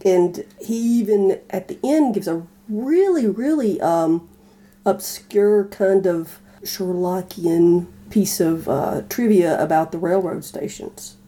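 A woman co-hosting a casual discussion of old radio dramas, explaining things in a thoughtful, halting way. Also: medium pitch, mellow. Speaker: podcast co-host.

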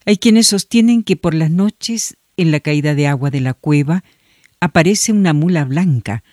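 A man giving scripted radio narration about local legend in a measured, storytelling way. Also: a little high, darkish tone, dry recording, clean studio sound.

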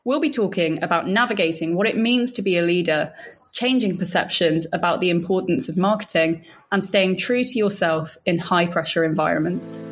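A woman hosting a podcast, reading a polished introduction and then interviewing in a measured, friendly way. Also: lowish voice. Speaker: podcast host. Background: faint music under intro.

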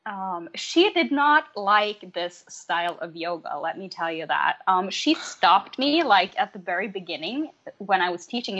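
A woman whose first language is Norwegian, a yoga teacher telling a story in a casual conversation, pausing often with ums. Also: medium pitch, fairly bright tone, muffled recording. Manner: reflective, many ums and hesitations.

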